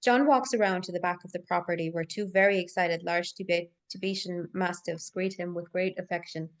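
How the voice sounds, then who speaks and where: Female narrator for a screenplay table read, lowish voice, reads directions with the odd stumble. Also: fairly even intonation, brisk. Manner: stumbles and repeats words while reading aloud.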